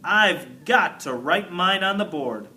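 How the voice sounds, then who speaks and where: A cheeky storybook schoolboy, voiced by a grown man, eager for the class's attention. The voice is bright and bouncy in pitch, playful and showy. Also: animated, takes it slowly, far from the mic, slight background noise, full character voice. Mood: showing off.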